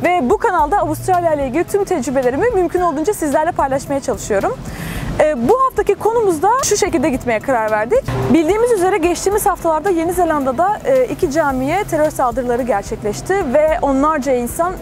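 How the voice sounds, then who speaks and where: A woman vlogger from Turkey, talking chattily as she introduces her street interviews outdoors near a market. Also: high voice.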